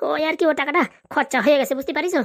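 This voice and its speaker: A woman's voice: high-pitched, fairly even intonation, rapid-fire, fairly bright tone. A woman voicing a broke, pleading, grumbling husband in a comedy cartoon. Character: broke, wheedling husband begging his wife for money.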